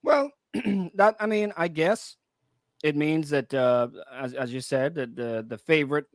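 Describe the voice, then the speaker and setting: A man co-hosting a casual radio discussion, working through his points haltingly and rephrasing them. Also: fairly high pitch, animated, dry recording, studio quality. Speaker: radio talk show co-host.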